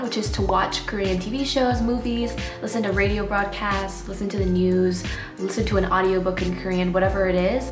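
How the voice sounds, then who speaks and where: A woman's voice: medium pitch, echoey room. A young woman whose first language is Nepali, giving casual tips in a chatty vlog full of fillers. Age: young adult. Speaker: vlogger.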